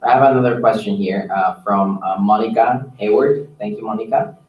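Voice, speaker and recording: A man's voice: on the low side, normal speed, dull audio. A man moderating an informal conference call, taking questions and answering them as a staff member. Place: echoey room on a conference call. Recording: echoey room, distant conference mic.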